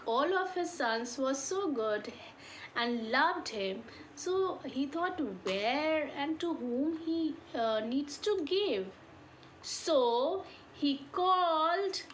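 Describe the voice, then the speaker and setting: Woman, high voice, slow pace, expressive children's storyteller, questions to listeners, faint background noise. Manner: expressive, questioning the listeners, drawn-out emphasis on key words. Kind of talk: oral folk tale storytelling for children.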